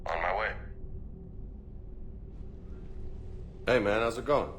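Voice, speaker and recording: A man acting a gruff, laid-back young soldier in a video game, talking in loose slangy phrases with some private self-doubt. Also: on the low side, quick pace, a bit bright, slight background noise, natural voice. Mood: easygoing, teasing. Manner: colloquial, laid-back, swearing. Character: gruff, easygoing young male soldier, secretly doubting himself. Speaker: video game character actor.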